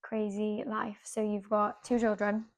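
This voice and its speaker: A woman's voice: mid-range voice, level tone, a little slow. Welsh woman host from Cardiff. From Wales. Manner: chatty, with frequent agreeing backchannels. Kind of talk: casual chat.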